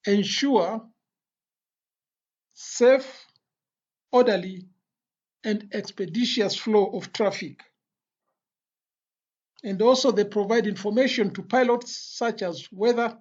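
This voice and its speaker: An instructor giving an explanatory lecture in a deliberate, phrase-by-phrase way with pauses. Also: medium pitch, darkish tone. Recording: dull, lo-fi voice recording.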